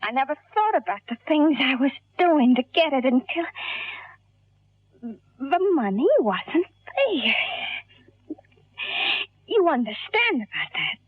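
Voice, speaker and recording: A phone-thin vintage radio recording carries a high, slow voice. It is a distraught dying woman, pleading in halting bursts that swing widely in pitch, as in an old radio drama. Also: very animated, no echo, narrow, tinny audio, full character voice. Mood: anguished, fading. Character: distraught woman confessing to a doctor as she dies.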